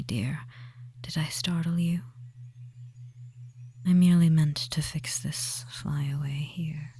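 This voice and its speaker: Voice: medium pitch, dark tone, a little hiss or hum. A performer voicing a tender, devious male forest witch in an ASMR roleplay, whispering breathily and fussing soothingly over the listener with endearments. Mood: tender, doting.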